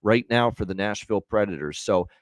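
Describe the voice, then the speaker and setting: Man, lowish voice, dry close sound, sports betting host, emphatic unscripted delivery. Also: a little fast, dry recording. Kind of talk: unscripted betting show commentary and promotion.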